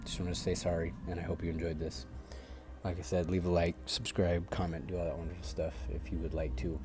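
Congested young male gaming YouTuber with a deep voice, loud and profane, over loud game music. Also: flat delivery, fairly quick. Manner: swears often, reacts loudly to the game. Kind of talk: casual gameplay commentary.

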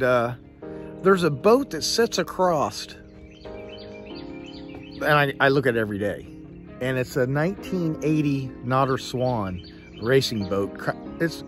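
A man in his fifties vlogging casually outdoors on a sailboat at a marina, rambling and correcting himself as he talks. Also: medium pitch. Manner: rambling, self-correcting, conversational. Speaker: boat owner vlogger. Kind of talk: casual vlog talk.

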